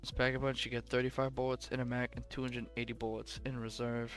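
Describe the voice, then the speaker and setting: A man's voice: mid-range voice, flat delivery, fast, faint music behind. A young man casually commenting on game weapons, rambling and correcting himself, his voice a bit worn and sleepy. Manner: rambling, self-correcting, offhand. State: voice worn from long recording, sleepy. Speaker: gaming commentator ranking weapons.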